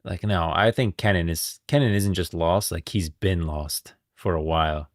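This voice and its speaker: A man's voice: low voice, normal speed, dry recording, studio quality. A man hosting a livestream with casual, emphatic and opinionated commentary on game lore. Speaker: livestream host.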